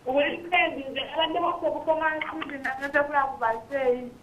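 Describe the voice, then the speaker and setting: A woman's voice: high-pitched, steady tone, a little fast, fairly bright tone, slight background noise. A woman calling in by phone, chatty and cheerful. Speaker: caller. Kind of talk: phone-in chat. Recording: muffled phone line.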